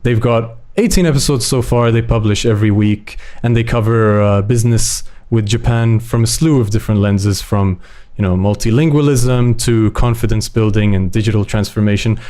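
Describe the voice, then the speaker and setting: A man's voice: lowish voice, mellow. A man co-hosting a podcast, introducing a guest enthusiastically while showing her website. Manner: upbeat, enthusiastic. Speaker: podcast co-host.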